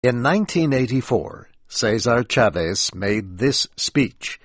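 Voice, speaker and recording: American man, very slow scripted narration, careful enunciation, medium pitch, dry close sound. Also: animated, dry recording. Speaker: narrator of an educational program for English learners.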